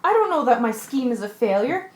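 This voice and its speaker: A woman's voice: fairly high pitch, very animated, brisk. A woman playing a resolute male ship's mate in an amateur radio drama, earnest and commanding, sometimes stumbling over lines. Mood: firm, earnest. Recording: echoey room.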